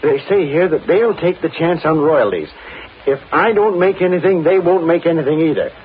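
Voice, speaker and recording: An actor playing a naive, hopeful young man in an old-time radio drama, boyish, earnest and excitable. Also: a little high, moderate pace, close to an everyday voice. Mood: earnest, determined. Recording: tinny old radio broadcast, faint noise.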